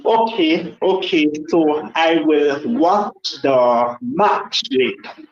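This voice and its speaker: Rambling high-voiced male caller. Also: expressive, drawn-out words. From Jamaica. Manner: rambling, hesitant with many ums. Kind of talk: casual call-in chat. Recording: echoey muffled phone-in line.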